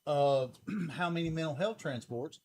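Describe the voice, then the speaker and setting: A man's voice: on the high side, a little slow, a little mellow. A man with a Southern US accent hosting a local interview, easygoing and affirming, asking plain questions. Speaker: interview host. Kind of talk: casual interview talk. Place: local TV studio.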